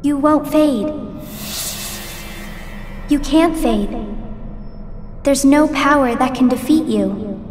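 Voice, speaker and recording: A woman playing a gentle, encouraging teenage girl in a video game cutscene. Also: high-pitched, slow pace, long pauses. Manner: soft, encouraging. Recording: echoey, dreamlike reverb with music.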